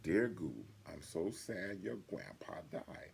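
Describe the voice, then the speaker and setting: An older man voicing an affectionate little animal friend from a children's story, earnest and childlike, in a gravelly voice. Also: sits low, sing-song, takes it slowly, a little hiss or hum, full character voice. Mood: tender.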